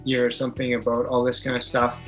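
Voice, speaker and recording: A man speaks in a medium-pitched voice as he runs a tabletop game. His delivery is halting, often searching for the next word as he narrates and explains rules. Faint music plays behind him. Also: monotone, a bit bright. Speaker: game master.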